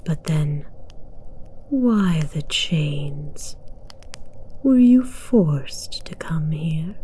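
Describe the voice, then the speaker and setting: Woman playing a slow, whispering dragon queen. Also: deep voice, warm tone, a little hiss or hum. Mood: amused, haughty. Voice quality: breathy whisper. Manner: slow, regal, formal, teasing. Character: imperious, amused, seductive female dragon queen. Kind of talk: whispered ASMR roleplay.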